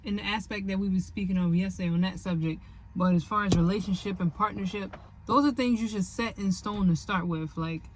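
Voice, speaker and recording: A woman co-hosting a relationship advice show, talking plainly and chiming in with quick affirmations. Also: mid-range voice, background noise. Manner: plain-spoken, backs up her partner with quick affirmations. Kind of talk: casual advice chat.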